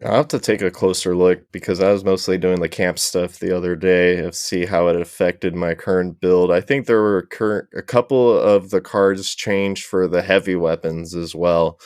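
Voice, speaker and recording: A man's voice: sits low, fairly even intonation, normal speed, hardly any pauses, darkish tone, smooth, no echo, clean studio sound. A man chatting casually as a panel guest, explaining things in an easygoing, hedging way. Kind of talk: casual chat.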